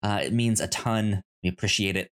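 A man hosting a podcast in a casual, chatty style, sometimes halting and repeating words as he searches for phrasing. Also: fairly low pitch, fairly even intonation, a bit bright. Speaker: podcast host.

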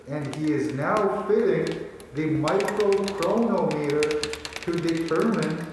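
Guy playing folksy halting 1940s radio play inventor, highish voice, slow, way off in a big echoey hall. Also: a little mellow. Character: Norman, folksy, wonderstruck amateur inventor of the 1940s. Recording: echoey hall, distant mic, noisy.

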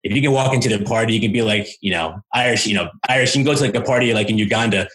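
A man speaking as an interview guest, explaining and telling anecdotes in an informal, animated way with acted-out asides. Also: on the low side, racing, rough recording. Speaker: interview guest, language coach.

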